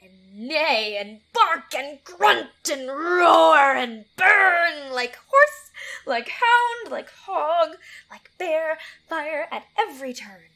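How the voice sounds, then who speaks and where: An actress playing a gleeful, taunting fairy sprite in a theatrical Shakespearean audio drama. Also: female voice, high voice, very animated, creaky, bright, forceful tone, heavily acted. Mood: gleeful menace. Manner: taunting, dramatic, drawn-out delivery.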